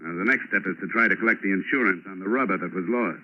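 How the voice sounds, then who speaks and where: An actor playing a tough, wry insurance investigator who narrates in clipped, hard-boiled lines for a 1940s radio drama. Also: male voice, low-pitched, quick pace. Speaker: narrator and lead. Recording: muffled old radio broadcast.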